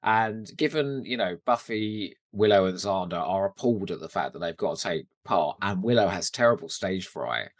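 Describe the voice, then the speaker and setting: British male podcast co-host, lively swooping pitch, enthusiastic and jokey, dry close sound. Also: no echo. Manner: enthusiastic, jokey, quick to laugh. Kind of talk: casual TV rewatch chat.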